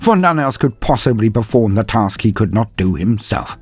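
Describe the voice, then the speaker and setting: Theatrical male storyteller, swooping and slightly husky. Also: fairly low pitch, lively intonation, moderate pace, a little mellow, soft background music. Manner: mock-grandiose, wry, comedic. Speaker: storyteller, channel narrator. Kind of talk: theatrical scripted story narration.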